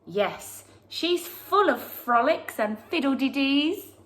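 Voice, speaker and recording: Slow, lilting woman storyteller. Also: high-pitched, expressive, fairly bright tone. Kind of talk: expressive children's picture-book read-aloud. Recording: roomy, echoey sound.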